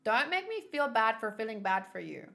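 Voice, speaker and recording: A woman giving casual reaction commentary as she reads a story aloud, blunt and quick to swear. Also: on the high side, big pitch swings, gravelly, a bit bright. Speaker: manga read-along host.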